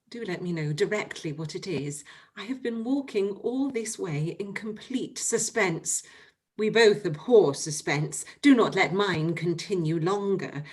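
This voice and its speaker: A woman reading an audiobook, voicing a clever, lively young gentlewoman who speaks in an earnest, pressing way. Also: on the high side.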